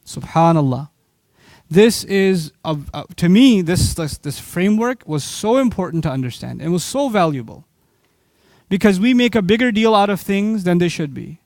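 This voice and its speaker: A man from Pakistan giving an animated religious lecture, asking the audience rhetorical questions. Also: sits high, normal speed, dry recording. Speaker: Islamic speaker.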